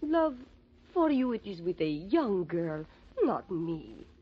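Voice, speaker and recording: A woman's voice: on the low side, big pitch swings, takes it slowly, slightly rough, heavily acted. An actress in a 1940s-style radio drama playing a dutiful married woman, earnest and admonishing, with formal phrasing. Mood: firm, reproving. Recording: muffled old radio recording.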